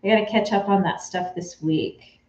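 A woman's voice: medium pitch, normal speed, a little mellow. A woman from Texas in her forties, chatting casually with her live viewers by name while she sews at home. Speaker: craft vlogger answering live chat. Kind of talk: casual live-stream chat while sewing. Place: home sewing room, indoors. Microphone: camera on a tripod, a few feet away. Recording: roomy, slightly dull home-camera sound.

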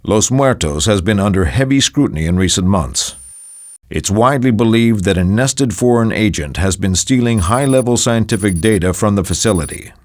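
Actor as a low-voiced, formal spy agency director. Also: male voice, animated, darkish tone, lightly acted. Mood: grave, measured. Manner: formal, measured, grave. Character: authoritative male spy agency director giving a briefing. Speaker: mission briefing narrator. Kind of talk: scripted mission briefing narration.

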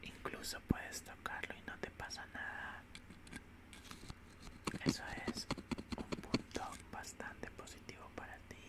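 A man whispering breathily into a close microphone in a soothing, repetitive ASMR roleplay as a calm, clinical alien doctor. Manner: slow, soothing, repeating short phrases. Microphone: close desk microphone.

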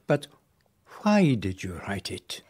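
A man's voice: lowish voice, very animated, takes it slowly, darkish tone, husky, exaggerated voice. A man playing a precise, formal Belgian detective in a radio play. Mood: measured. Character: fussy Belgian detective, middle-aged man. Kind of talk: radio drama acting.

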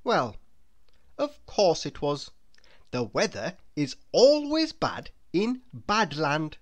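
A woman narrating a children's storybook aloud in an expressive, deliberate storytelling manner. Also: low-pitched.

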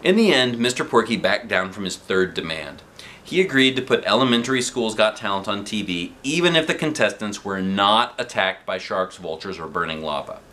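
A dad in his thirties reads a children's chapter book aloud on his living room sofa, picked up by a webcam. His delivery is lively and bright, with his pitch swinging widely. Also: moderate pace, slight background noise. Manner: expressive, animated reading with asides.

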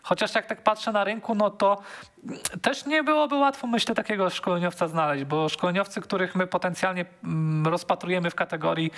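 A man's voice: high voice, a bit bright. A man in his thirties talking football as a studio pundit on a headset mic, thinking aloud with many fillers and repeated words. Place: sports TV studio.

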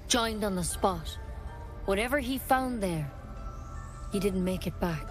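A woman playing an earnest, knowledgeable druid in a video game, speaking in a frank, measured, explanatory way. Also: medium pitch, expressive, faint music behind, lightly acted. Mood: earnest, urgent. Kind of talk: video game dialogue acting.

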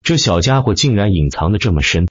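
Husky, animated male audiobook narrator. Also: lowish voice, a little fast, no echo, lo-fi sound. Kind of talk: dramatic scripted web-novel narration.

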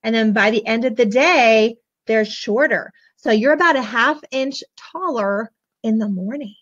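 Hoarse high-pitched lady gushing about a kids book on her webcam, dull lo-fi sound. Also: measured pace. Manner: bubbly, gushing, chatty with viewers. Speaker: children's book seller. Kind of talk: casual live book show-and-tell. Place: small room indoors, decorated backdrop. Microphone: webcam at desk.

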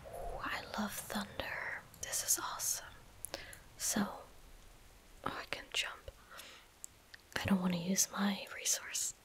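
A young woman doing soft-spoken ASMR gameplay commentary, gentle and breathy, close on a studio microphone. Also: mid-range voice, level tone, poor audio. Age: young adult. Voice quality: breathy, whispered. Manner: gentle, unhurried, casual. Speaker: ASMR game streamer. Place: indoor streaming room.

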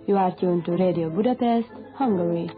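A woman's voice: on the low side, a little fast. A woman announcer reading a scripted station greeting for an international shortwave broadcast. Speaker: radio announcer. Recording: tinny, narrow-band shortwave radio with loud music.

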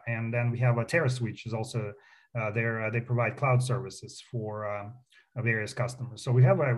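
A man's voice: medium pitch, a little mellow. Russian-accented man, chatty with fillers. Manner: chatty, many fillers and restarts, proud. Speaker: company founder guest. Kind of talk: casual radio interview. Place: radio studio.

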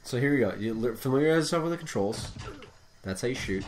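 A man's voice: medium pitch, animated, fast. A tipsy man coaching his friend through a game, laughing, crude and bossy. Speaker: gameplay commentator. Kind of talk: casual gameplay chat.

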